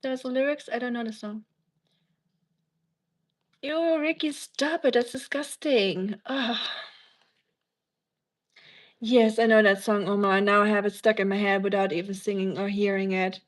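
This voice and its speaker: A woman's voice: medium pitch, fairly even intonation, a little slow, long pauses. A woman from Germany with a German accent, chatting casually on a livestream and answering viewers in a rambling, teasing way.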